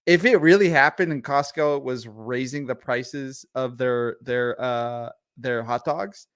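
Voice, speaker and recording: A man co-hosting a casual livestream, whose first language is Arabic. His voice sits at an average pitch and sounds dry and close to the mic. He rants heatedly and swears often, repeating himself as he builds steam. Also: unhurried, fairly bright tone, clear, resonant tone, dry recording. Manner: profane, heated, repeats words. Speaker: podcast co-host.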